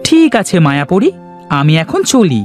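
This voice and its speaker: A man's voice: high-pitched, big pitch swings, moderate pace, a little mellow, faint music behind, voice pushed up. A man voicing an eager, earnest young farm boy in a children's fairy tale cartoon.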